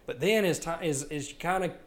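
A man in his twenties co-hosting a podcast, speaking frankly and swearing freely in relaxed chat. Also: a little high, brisk. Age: 20s. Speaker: podcast co-host. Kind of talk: casual chat.

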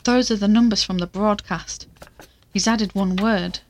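A woman's voice: mid-range voice, steady tone, unhurried, a little mellow, slight background noise, natural voice. An actress playing a determined, worried woman podcaster who investigates a mystery in an audio drama. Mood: anxious, intent.

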